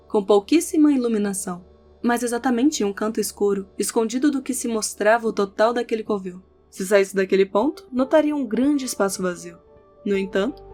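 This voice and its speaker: Female narrator, scripted audio novel, mid-range pitch, guitar music bed behind. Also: a little mellow. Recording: background music under the voice. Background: instrumental music with guitar.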